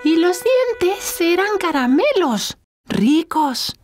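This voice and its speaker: A performer voicing an excitable, squeamish small child in a children's cartoon dub. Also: very high-pitched, slow pace, soft background music, full character voice. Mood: excited, squeamish.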